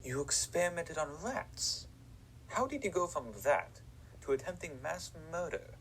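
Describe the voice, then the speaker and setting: A polite, probing psychiatrist, played by a man with a fairly high voice that moves a lot in pitch. He asks his questions in a measured, inquisitive way. Faint noise runs under him. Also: big pitch swings, a bit bright, exaggerated voice, rough recording. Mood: inquiring. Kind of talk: fan audio drama acting.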